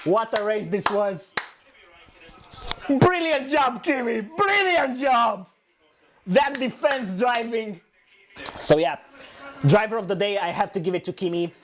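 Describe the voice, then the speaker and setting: Hoarse man, high voice, slow delivery; excitable live race reactor who repeats himself. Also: a little hiss or hum. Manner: excitable, shouting at overtakes, repeating phrases. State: hoarse, losing his voice.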